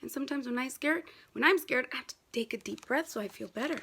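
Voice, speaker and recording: A woman voicing a gentle, reassuring father in a picture book, soothing and encouraging a nervous young child. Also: sits high, quick pace, vocal fry, breathy edge, exaggerated voice. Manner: soothing, encouraging, simply worded.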